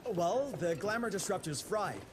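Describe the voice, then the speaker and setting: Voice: medium pitch, big pitch swings, normal speed, lightly acted. Actor as awkward young engineer in a game, eager, hesitant, voice jumps around, noisy bg. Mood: awkward, excited.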